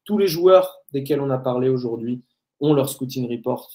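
A man's voice: mid-range voice, moderate pace, mellow. A man hosting a live basketball stream, talking casually with lots of hesitant fillers. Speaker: host. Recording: roomy echo.

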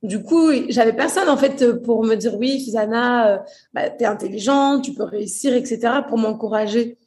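Young woman from portugal, kinda high voice, lots of euh and restarts, chatty. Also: normal speed, darkish tone, slight background noise. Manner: hesitant, many fillers and restarts. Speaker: guest. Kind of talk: casual interview chat.

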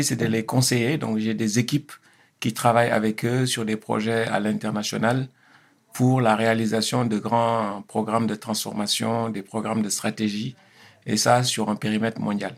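Gravelly-voiced man in his fifties, hesitant. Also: lowish voice. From Senegal. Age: 50s. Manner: hesitant, many fillers and restarts. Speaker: guest. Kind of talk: interview answers.

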